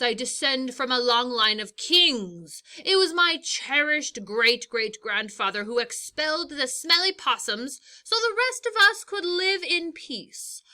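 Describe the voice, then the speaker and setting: A woman doing a boastful lion king, grand speechmaking, high voice, bright tone. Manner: grand, self-important speechmaking.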